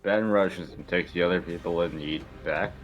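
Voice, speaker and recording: A man's voice: deep voice, moderate pace, dull audio. A man reading stage directions as narrator in an informal amateur script reading.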